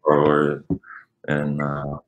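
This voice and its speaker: A man's voice: extremely low pitch, monotone, drawn-out words, a little hiss or hum. A young man from Switzerland, a native Italian speaker and college basketball player, answering interview questions with many fillers. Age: young adult.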